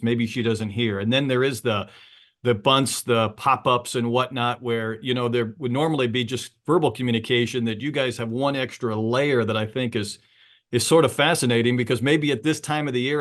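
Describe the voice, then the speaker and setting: A man's voice: medium pitch, fairly bright tone. A man who works as a sports broadcaster asks long, rambling questions at a press conference.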